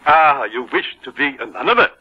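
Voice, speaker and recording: An actor playing a polite, earnest gentleman collecting for charity, with formal manners, in a 1950s radio drama. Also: mid-range voice, animated, moderate pace, natural voice. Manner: formal, courteous. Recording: dull 1950s radio broadcast recording.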